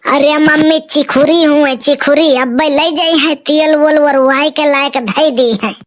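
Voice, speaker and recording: A man's voice: extremely high pitch, steady tone, measured pace. A man playing a stingy, evasive young villager in a comic cartoon, whining defensively in an Awadhi accent. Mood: evasive, grumbling. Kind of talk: amateur comic cartoon dub in dialect.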